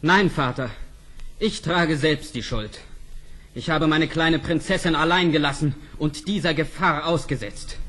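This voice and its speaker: An actor playing a devoted young prince in a fairy-tale radio play, earnest and impassioned. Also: male voice, fairly high pitch, a little slow, fairly bright tone. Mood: anguished, resolute.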